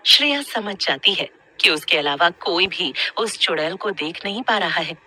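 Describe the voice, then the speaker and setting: A woman's voice: on the low side, lively intonation, moderate pace, sharp tone. A woman narrating a scripted horror story as a storyteller.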